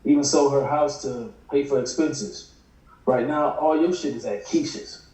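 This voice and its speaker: Frank, streetwise male pal acted by a man, dark tone, casual table read, distant echoey mic. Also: medium pitch, unhurried, natural voice. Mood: frank, concerned. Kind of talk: naturalistic table read acting. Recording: echoey room, distant video call mic.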